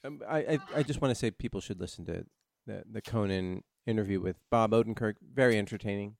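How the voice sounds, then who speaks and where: A man co-hosting a podcast in casual chat, with a hesitant, halting way of talking. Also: on the low side, gravelly, no room sound, studio quality. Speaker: podcast co-host.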